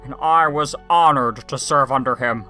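A woman's voice: rumbling low, moderate pace, sharp tone, soft background music. A woman voicing a theatrical male villain handyman in a fan cartoon, telling things dramatically with bro slang. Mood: reverent, dramatic.

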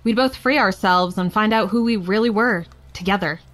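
A woman's voice: medium pitch, moderate pace, fairly bright tone, close to an everyday voice. An actress playing a defiant, playful young woman telling her story in a frank, wry, casual way for an audio drama. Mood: defiant, excited.

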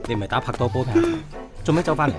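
A man's voice: medium pitch, racing, music in the background, close to an everyday voice. An actor playing a blunt, teasing, grumbling man near forty in a radio drama.

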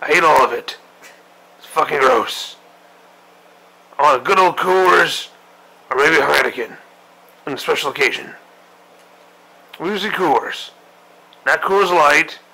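A man's voice: a little high, measured pace, vocal fry, long pauses, sharp tone, breathy edge, heavily acted, poor audio. A man doing a grumpy old admiral. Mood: ranting. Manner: profane, griping rant. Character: grumpy old male admiral commander, crude and impatient. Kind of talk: comedic parody monologue.

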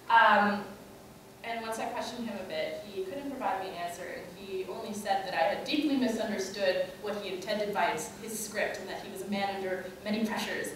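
A woman's voice: mid-range voice, heavy reverb, slight background noise. A young woman giving a conference talk, conversational, with many fillers and rhetorical questions, recorded from a far camera in a large lecture room. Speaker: student organizer giving a talk. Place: large lecture room, in front of a projector screen. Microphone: far camera, no mic.

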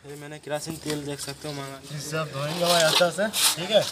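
A man's voice: on the high side, fairly even intonation, a little fast. A young man, a car mechanic with a Kolkata Hindi accent, walks through a repair job, saying "dekho" and "theek hai" over and over. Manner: repeats "dekho" and "theek hai" while showing his work. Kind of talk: hands-on repair walkthrough. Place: outdoor garage workshop. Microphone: phone camera held while working. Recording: phone audio with garage noise.

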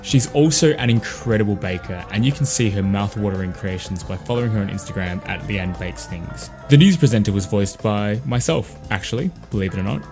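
Young aussie guy doing a podcast outro, slightly low voice, guitar music under him. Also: fairly quick, few pauses. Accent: Australian. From Australia. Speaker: podcast creator and host.

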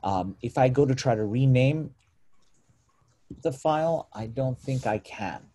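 A man's voice: medium pitch, lively intonation, a little slow, warm tone. A man presenting a live screen-share coding tutorial on a video call, informal and self-correcting as he talks. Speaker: meetup presenter.

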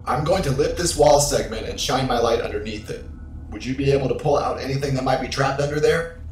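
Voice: mid-range voice, brisk, natural voice. Spaceship AI companion, voiced by an actor: dry, precise, formal, heard from a distance with echo. Sci-fi audio drama. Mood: dry, focused. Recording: echoey, distant, music behind.

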